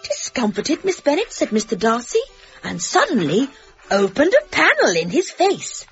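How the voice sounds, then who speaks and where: Melodramatic female author, played by a comic actress, theatrical period-romance reading, very animated, slightly dull sound. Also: high voice, moderate pace, faint music behind, full character voice. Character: melodramatic woman novelist reading out her romance draft. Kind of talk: theatrical storybook narration.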